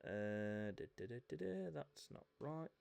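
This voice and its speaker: A man doing live commentary on a motorcycle esports race, a little croaky from a chest infection, chatty with self-mocking asides. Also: medium pitch, lively intonation, measured pace, a little mellow. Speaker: race commentator and event host.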